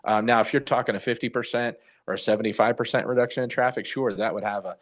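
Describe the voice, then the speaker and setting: A man's voice: mid-range voice, steady tone, fast. An older man, a retired professional, giving unscripted answers in a news interview. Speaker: retired air traffic controller, interviewee.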